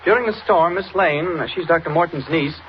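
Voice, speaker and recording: An actor playing an earnest, insistent American newspaper reporter in 1940s radio drama, speaking urgently and directly. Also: male voice, fairly high pitch, a little fast, lightly acted. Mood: urgent, explaining. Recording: muffled, noisy vintage radio recording.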